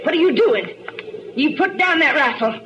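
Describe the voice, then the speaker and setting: Sharp-tongued rural American frontier widow, defiant; animated, very high voice, vintage radio sound. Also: female voice, moderate pace. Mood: alarmed, shrieking. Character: scheming, spiteful frontier widow, defiant then shrieking. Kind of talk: old-time radio western acting. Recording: muffled old radio broadcast, faint noise.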